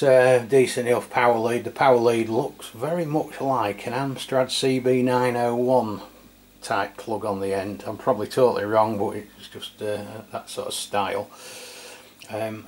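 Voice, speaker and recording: A middle-aged man from England giving an unscripted, casual product review in a hesitant, matter-of-fact way. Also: medium pitch. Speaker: radio reviewer. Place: indoors at a tabletop. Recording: clear steady background noise, room ambience.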